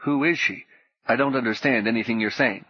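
A man's voice: medium pitch, fairly quick, slightly rough, dull audio, lightly acted. Man doing a bewildered young man on the run. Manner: plain and bewildered.

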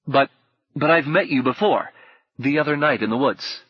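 A man voicing an earnest, bewildered, anxious ordinary man in an audiobook, speaking hesitantly and haltingly. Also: mid-range voice, husky, no echo, highs cut off, voice pushed up, natural voice. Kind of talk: audiobook character acting.

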